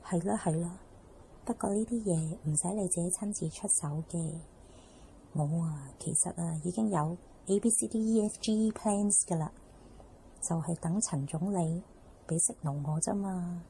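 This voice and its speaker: Actress doing a sly whiny office rival, cantonese radio drama, low-key mellow tone w/ fry, bit of noise behind. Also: female voice, natural voice, rough recording. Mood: sly, eager. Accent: Hong Kong Cantonese. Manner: whiny, needling, drawn-out sentence endings. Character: sly, flattering, scheming female office rival.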